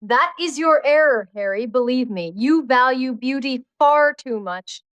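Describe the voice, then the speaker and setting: A man voicing a spirited, flirtatious English duchess in an audiobook, with a coy falsetto and quick retorts. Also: animated, fairly bright tone, smooth, no room sound, lo-fi sound, heavily acted. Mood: coy, playful. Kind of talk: audiobook character dialogue.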